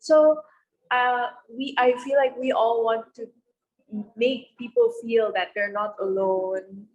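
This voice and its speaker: A woman, a singer speaking sincerely and softly at a press conference. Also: fairly high pitch, steady tone, slow. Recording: dull lo-fi sound.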